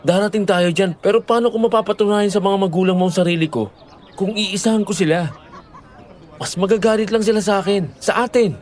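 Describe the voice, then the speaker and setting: A man's voice: high voice, close to an everyday voice. A man playing a responsible young boyfriend in an audio drama, earnest, patient and placating, with a Filipino accent. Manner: earnest, pleading, gentle.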